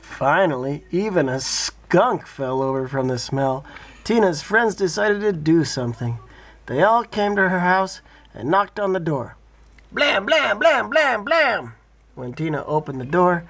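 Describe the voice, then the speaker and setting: A man reading a children's bedtime story aloud as a father, in an expressive, playful way. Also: high voice.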